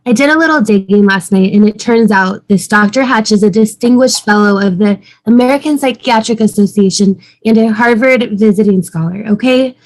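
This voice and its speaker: A woman's voice: mid-range voice, moderate pace, few pauses, close to an everyday voice, rough recording. A woman playing an earnest, bossy, studious young woman with a firm, matter-of-fact manner in a fan table read on a video call.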